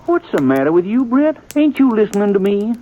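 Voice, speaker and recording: An actor playing a gossipy, long-winded cafe owner in a 1950s radio western, folksy and American, rambling along and easily offended. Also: male voice, high-pitched, very animated, brisk, dark tone. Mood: huffy. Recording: muffled vintage radio recording.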